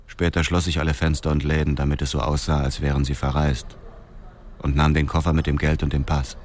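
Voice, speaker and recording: An actor playing a cold, vengeful widower who narrates his brutal deeds in a detached, measured crime radio drama. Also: extremely low pitch, little pitch movement, a little mellow, slight background noise. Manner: detached, measured, matter-of-fact. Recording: music under the voice.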